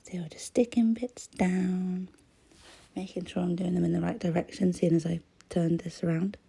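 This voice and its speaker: A croaky-voiced woman, chatting casually as she crafts, often trailing into a whisper. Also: lowish voice, fairly even intonation, normal speed, warm tone. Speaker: crafting vlogger. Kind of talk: casual voice-over chat while crafting.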